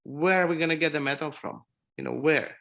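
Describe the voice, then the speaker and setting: A man's voice: fairly high pitch, a little fast, vocal fry, fairly bright tone, lo-fi sound. A man, a mining company CEO speaking earnestly on an online panel, with many fillers and restarts. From Romania.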